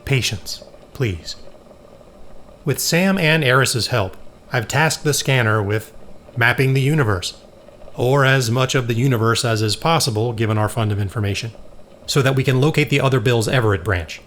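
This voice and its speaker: Audio drama lead: male actor as commanding scientist, medium pitch, deliberate and explanatory, over background noise. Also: fairly bright tone, natural voice. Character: earnest, commanding male scientist leading a lab investigation.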